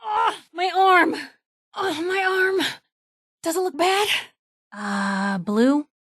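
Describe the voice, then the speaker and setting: A woman playing a sassy, warm-hearted young reporter and loyal companion in a video game, talking in wry, breezy, clipped slang. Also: very high voice, lively intonation, takes it slowly, dry recording, clean studio sound, heavily acted. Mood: alarmed, hurt. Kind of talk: game voice lines, short reactive barks.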